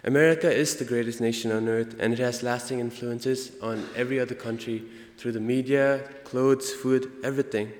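A young man with an Indian accent gives an earnest prepared student speech, halting now and then to correct himself. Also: mid-range voice, fairly even intonation. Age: young adult. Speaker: student speaker. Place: large indoor hall, convocation. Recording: echoey hall with long reverb.

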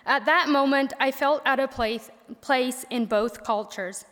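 A young woman from Missouri, a native Pennsylvania Dutch speaker with that accent, reading her prepared senior speech at a college assembly with gentle wry humor. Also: high-pitched, measured pace. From Missouri, USA. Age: young adult. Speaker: graduating college student. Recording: echoey auditorium sound.

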